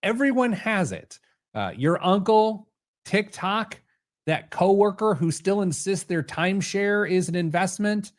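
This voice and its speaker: A man's voice: sits high, animated, unhurried. A man hosting a podcast, delivering a scripted monologue in a wry, joking, conversational manner. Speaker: podcast host. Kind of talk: scripted personal finance monologue.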